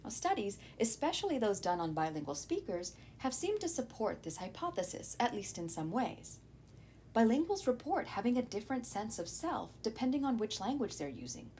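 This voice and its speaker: Female teacher for lesson narration, very lively intonation, explanatory and direct to students, some background noise with rumble. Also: normal speed. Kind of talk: scripted educational narration.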